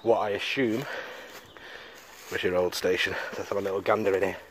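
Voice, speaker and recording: A man's voice: sits low, steady tone, fairly quick, fairly bright tone, slight background noise. A man giving informal walk-around commentary to viewers as an exploration vlogger, recorded outdoors on a handheld device. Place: outdoors and in derelict roofless buildings.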